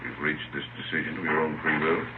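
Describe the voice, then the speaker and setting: An actor playing a solemn trial judge in an old radio drama, measured, formal and impassive. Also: male voice, rumbling low, little pitch movement. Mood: solemn, official. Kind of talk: 1950s radio drama acting. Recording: muffled, noisy old radio recording.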